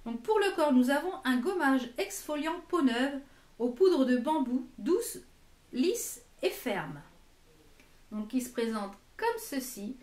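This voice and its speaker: A woman in her late thirties giving a casual product review in a chatty, hesitant manner, pausing to read labels aloud. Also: high voice, sing-song, a little mellow. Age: late 30s. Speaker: beauty vlogger presenting a product box. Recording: echoey room.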